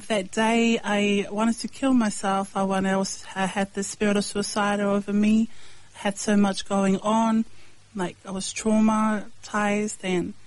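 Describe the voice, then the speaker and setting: Woman, from Samoa, interview guest, earnest, halting, mid pitch, dry sound. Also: darkish tone, dry recording, low-quality recording. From Samoa, raised in New Zealand. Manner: plain, halting, with short answers and pauses. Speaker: guest, hip-hop artist and evangelist. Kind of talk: earnest personal testimony.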